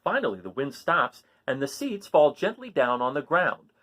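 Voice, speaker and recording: A man reading a children's picture book aloud, with dramatic, drawn-out emphasis on key words. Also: fairly high pitch, very animated, a bit bright. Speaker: storybook reader reading aloud.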